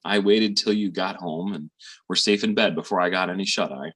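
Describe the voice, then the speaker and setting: A man playing a gentle, devoted middle-aged Southern father in stage-play dialogue, speaking in a fond, coaxing way. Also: low voice, a little fast, breathy edge. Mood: teasing, fond.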